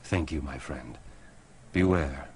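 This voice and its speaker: An actor playing a grave, solemn mystic warrior in a video game, speaking ominously. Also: male voice, a bass voice, slow, over loud music. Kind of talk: video game cutscene acting.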